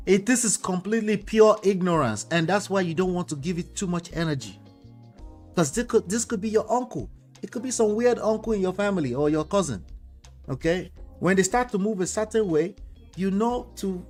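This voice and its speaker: A man with a Nigerian accent, a relationship coach giving casual commentary on viewer comments, speaking emphatically and often ending points with tag questions. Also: high voice. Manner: emphatic, with frequent tag questions like "okay?" and "you know".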